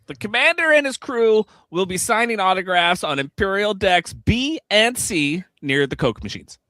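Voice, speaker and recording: A man playing an eager, nervous bit-part actor who works as a convention emcee, animated and stammering in a live radio-theatre script read. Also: sits high, moderate pace, no room sound. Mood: hyped.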